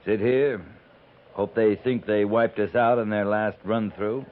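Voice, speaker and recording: A man's voice: fairly low pitch, steady tone, measured pace, natural voice. A stoic American cavalry captain played by a man in a 1950s radio western, speaking with clipped authority and dry understatement. The old recording is narrow and phone-like, with hiss throughout. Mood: stern.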